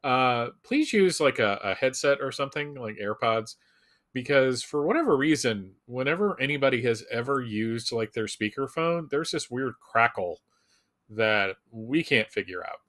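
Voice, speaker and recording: A man's voice: mid-range voice, animated, normal speed, fairly bright tone. A man co-hosting a live fan show in a casual, joking way, with wry, self-deprecating humor.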